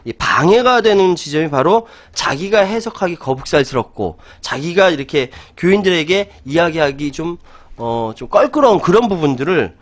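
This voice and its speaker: Man, talk host, fairly high voice, very lively intonation, opinionated, laughs often. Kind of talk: talk show discussion.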